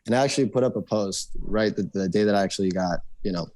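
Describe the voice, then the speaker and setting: A man's voice: fairly low pitch, darkish tone, dry recording. A young man from Argentina, a native Spanish speaker, talking casually as a podcast guest with lots of restarts and fillers. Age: young adult. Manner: fast, rambling, many restarts and fillers. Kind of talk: casual interview chat.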